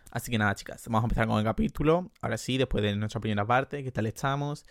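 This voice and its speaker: A man's voice: mid-range voice, vocal fry, no room sound. Young andalusian guy podcasting from his room, chatty, laughs at himself, dry close sound. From Andalusia, Spain. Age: young adult. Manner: chatty, self-mocking, quick to laugh. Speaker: podcast host. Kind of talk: casual solo podcast chat. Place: his sister's bedroom.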